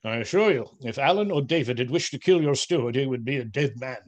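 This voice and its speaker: A man's voice: medium pitch, animated, quick pace, close to an everyday voice. A man playing a genial, pious, apologetic outlaw in a radio play, speaking in a wry, placating, courtly way. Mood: reassuring. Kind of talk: theatrical radio play acting.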